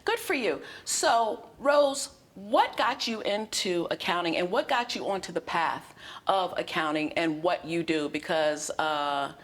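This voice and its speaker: A woman's voice: on the low side, expressive, unhurried. A woman hosting a talk show, bubbly and enthusiastic as she chats with her guest. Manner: bubbly, enthusiastic, laughs often. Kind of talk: upbeat interview chat.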